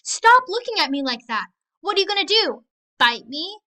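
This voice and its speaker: A woman voicing a big, mean bully girl in a storybook, taunting and forceful. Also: extremely high pitch, sing-song, moderate pace, voice pushed up, full character voice. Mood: rattled, defiant.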